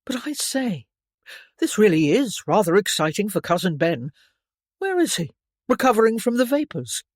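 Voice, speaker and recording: A woman's voice: medium pitch, very animated, moderate pace, no echo, voice pushed up. A woman reading an audiobook, voicing a sardonic young male cousin in a dry, mocking way.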